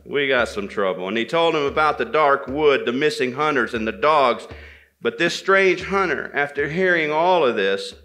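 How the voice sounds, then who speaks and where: A man tells an old folktale live, in a bright, forceful voice of medium pitch. He is deliberate, repeating phrases and pausing for dramatic weight. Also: animated. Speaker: storyteller at a men's gathering. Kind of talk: live oral storytelling of a folktale, dramatic pauses and repetition.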